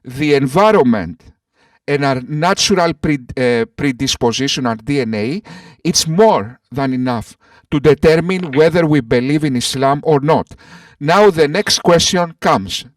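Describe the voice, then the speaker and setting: Male debater, slightly high voice, set back from the mic, dry sound, restarts words often. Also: lively intonation, measured pace, no room sound, far from the mic. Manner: repetitive, stammering, addresses others as sir. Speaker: atheist debater. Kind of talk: online voice-chat debate. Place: voice chat call.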